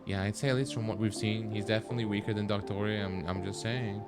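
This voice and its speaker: A man's voice: lowish voice, fairly even intonation, quick pace. A man giving casual live commentary on a video game, with dry, wry asides. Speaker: streamer. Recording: game music behind the voice. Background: game music.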